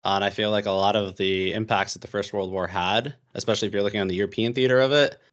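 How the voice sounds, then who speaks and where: A man's voice: fairly low pitch, fairly even intonation, fast, highs cut off. A young American man talking casually as a podcast guest, rambling with lots of fillers and restarts. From United States. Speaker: podcast guest, history YouTuber.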